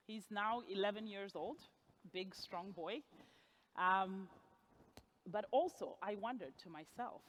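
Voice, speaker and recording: A woman's voice: medium pitch, poor audio. A woman in her forties from Zimbabwe, giving a personal storytelling speech at a gala and laughing at her own asides. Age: 40s. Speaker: gala speaker. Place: gala event hall, on stage.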